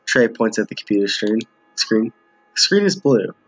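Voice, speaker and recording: A man's voice: fairly low pitch, sing-song, moderate pace, breathy edge, faint music behind. A man giving casual game commentary as a streamer, reading dialogue aloud and often stumbling over or repeating lines. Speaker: streamer playing a visual novel with viewers. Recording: muffled, roomy home recording.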